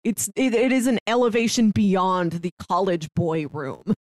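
A woman's voice: mid-range voice, expressive, unhurried, a little mellow, dry recording, clean studio sound. A woman co-hosting a casual film discussion, retelling scenes and slipping into bits of dialogue as she goes. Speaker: podcast co-host reviewing a film.

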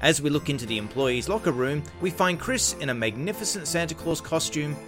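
A man's voice: a little high, expressive, a little fast, music in the background. A man reading scripted narration for an old-time radio drama.

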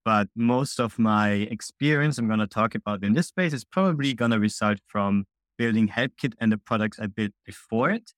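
A young man from Austria, a software founder, answering interview questions in an upbeat, chatty way full of fillers. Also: fairly low pitch, moderate pace, no room sound. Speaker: guest, indie founder.

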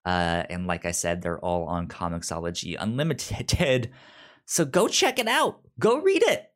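A man's voice: low voice, sing-song, a little slow, a bit bright. A man co-hosting a podcast in casual, unscripted chat, often stammering and repeating syllables. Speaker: podcast co-host.

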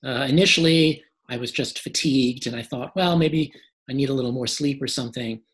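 Male interview guest; hoarse and a little short of breath, measured answers with many fillers. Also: medium pitch.

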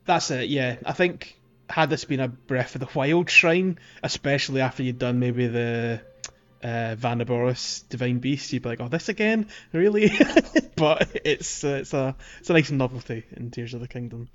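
Laid-back guy cohosting a gaming pod, mid pitch, kinda muffled audio. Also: moderate pace, fairly bright tone. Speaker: podcast co-host. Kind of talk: casual chat.

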